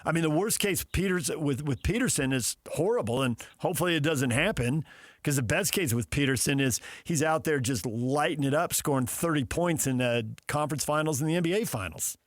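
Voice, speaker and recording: An American man talks as a sports radio host in a fairly high voice. He argues off the cuff, stacking repeated phrases to build a point. The sound is dry, with no room on it. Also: brisk, no echo. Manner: builds points through repetition. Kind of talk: unscripted sports talk debate.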